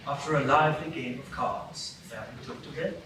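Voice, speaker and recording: A man's voice: medium pitch, brisk. A man narrating a live radio play in a storybook manner. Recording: echoey stage, distant mic, noisy.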